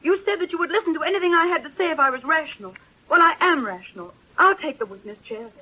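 Fervent newspaperwoman, high voice, earnest pleading, theatrical 1930s radio delivery, dull vintage recording. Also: animated, fast talker. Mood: desperate pleading. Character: impassioned newspaperwoman pleading for the man she loves. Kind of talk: 1930s-40s radio drama acting. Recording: muffled old radio recording.

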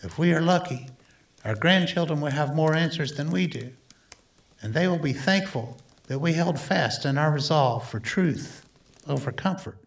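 A man playing an earnest doctor-scientist who gives a solemn, deliberate, oratorical speech in a radio play. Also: fairly high pitch, animated, vocal fry. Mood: solemn, pleading. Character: earnest male doctor-scientist delivering a measured political plea.

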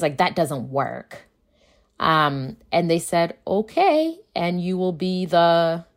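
A woman, a native Akan speaker, talking casually in an interview as a school founder and acting out dialogue as she tells her story. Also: low voice.

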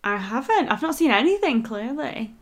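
Young woman, British accent, casual podcast chat, co-host, keen, expressive. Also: on the high side, moderate pace.

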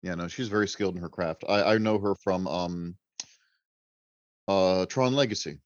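A man's voice: low voice, moderate pace, no echo. A man chatting casually as a podcast guest, with a jokey, exclamatory way of talking. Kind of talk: casual chat. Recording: dull lo-fi audio.

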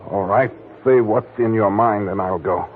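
Man playing a gruff clipped gangster, lowish voice, thin tinny radio, faint hiss. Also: measured pace, natural voice. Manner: gruff, clipped, broken grammar. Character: surly, nervous male ex-mobster club owner. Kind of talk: 1940s radio acting. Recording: tinny old radio broadcast.

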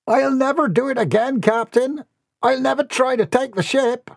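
A man's voice: extremely high pitch, moderate pace, dry recording, clean studio sound, pitched above the performer's own voice. A man voicing a cowed pirate who pleads with his captain in a story. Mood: pleading. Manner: pleading, whimpering. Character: cowed, pleading pirate sailor with a broken nose.

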